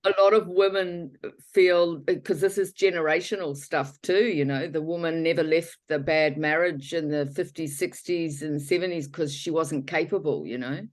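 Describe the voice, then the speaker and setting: A woman with a notably low voice leads a guided healing talk as the guest. She rambles and often corrects herself mid-sentence. She keeps circling back to rephrase her points. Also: moderate pace. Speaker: healer guest.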